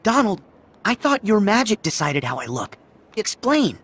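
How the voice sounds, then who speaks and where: A man's voice: high voice, big pitch swings, moderate pace, a bit bright, breathy edge, full character voice. An actor playing an earnest, plucky, loyal teenage boy hero in a video game, speaking emphatically. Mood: puzzled. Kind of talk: video game cutscene acting.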